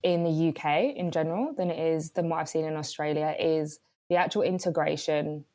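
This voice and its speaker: A woman's voice: low-pitched, a little fast, no room sound. A woman raised in London whose first language was Swahili. She is a podcast guest, chatting casually over a video call and laughing as she tells stories. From London, England. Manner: animated, laughs while telling stories. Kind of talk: casual conversation.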